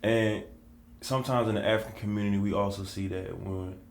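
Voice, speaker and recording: A man's voice: fairly low pitch, steady tone, brisk, echoey room, a little hiss or hum. A man co-hosting a podcast, talking in an easygoing way in loose, run-on phrases. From United States. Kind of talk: casual conversation.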